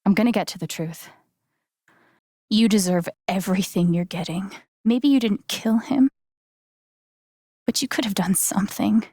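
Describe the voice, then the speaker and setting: A woman's voice: mid-range voice, normal speed, long pauses, warm tone, breathy edge, no room sound, studio quality, natural voice. An actress playing a candid, wry young woman in a video game, speaking in a plain, conversational way. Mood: stern. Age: young adult. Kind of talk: naturalistic video game acting.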